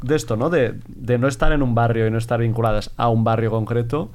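A man's voice: mid-range voice, steady tone, fast, a little mellow. A man with a Catalan accent from Spain, hosting casually and putting questions in a tentative, roundabout way. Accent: Spanish from Spain, Catalonia. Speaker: podcast host.

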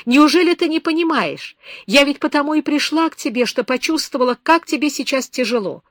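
A woman reading aloud, voicing a young woman who pleads through tears with impassioned, high, loud speech. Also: big pitch swings, normal speed, no echo, clean studio sound. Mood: pleading. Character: tearful young woman pleading with her lover.

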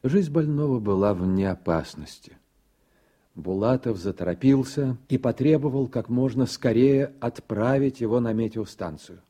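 A man reading scripted radio drama narration in a measured, literary storytelling manner. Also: medium pitch, lively intonation, dark tone.

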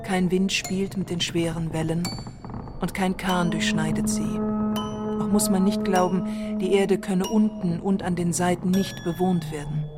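A woman's voice: lowish voice, fairly even intonation, unhurried. A woman narrating a literary text in a scripted radio play. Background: music.

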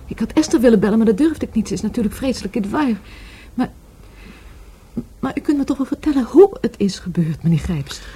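An actress playing a wistful, grieving lover in a radio play, hesitant and halting as she searches for words. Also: fairly high pitch, lively intonation, dark tone, husky. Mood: shaken, distressed. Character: grieving, wistful lover of a murdered market trader. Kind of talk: Dutch radio play acting.

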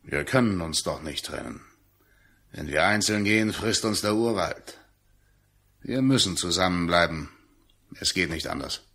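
An actor playing a sullen, suspicious jungle hunter with brooding, accusing delivery in a German radio play. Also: male voice, deep voice, expressive, a little slow, long pauses, sharp tone, full character voice.

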